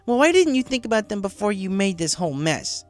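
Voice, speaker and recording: A man's voice: sits high, very animated, brisk, faint music behind, voice pushed up. A man voicing a brash, defiant young mare pony in an audiobook story, with a blunt and accusing manner. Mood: hurt, angry.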